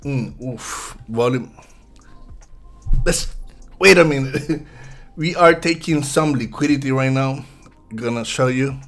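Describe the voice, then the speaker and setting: A Puerto Rican man in his thirties speaks slowly and softly, often stopping to restart his sentences. His voice sits at a middle pitch for a man. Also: soft background music. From Puerto Rico. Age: 30s. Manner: halting, soft-spoken, many restarts. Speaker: futures trader guest. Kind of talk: live-stream chat while trading.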